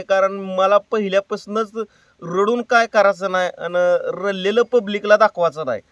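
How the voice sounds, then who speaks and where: A man's voice: high voice, fairly bright tone, smooth, dry recording, distant mic. A man making an earnest, plain-spoken personal appeal to his viewers, repeating himself for emphasis. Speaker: YouTube creator and prank caller.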